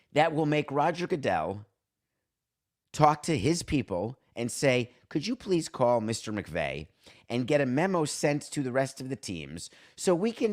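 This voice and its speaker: A man hosting a solo sports talk show, giving opinionated commentary in an emphatic, sardonic way with rhetorical questions. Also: mid-range voice. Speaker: sports talk podcast host.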